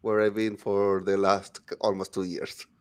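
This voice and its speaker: A man hosts a live online panel over a video call. His voice sits a little low, and he talks with playful enthusiasm. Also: little pitch movement, normal speed, clean tone. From Chile. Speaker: host. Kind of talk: live-stream panel chat.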